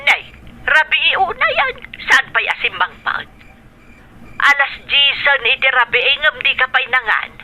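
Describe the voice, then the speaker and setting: A bright-voiced, fussing elder sister. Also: female voice, sits high, big pitch swings, a little slow, faint music behind, heavily acted, rough recording. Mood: concerned, fussing. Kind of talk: Ilocano radio drama acting.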